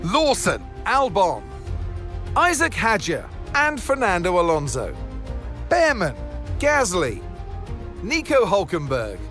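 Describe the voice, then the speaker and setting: A man voicing a polished, brisk TV motorsport commentator in a racing video game. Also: high voice, a bit bright, exaggerated voice. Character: upbeat male TV race commentator introducing the grid.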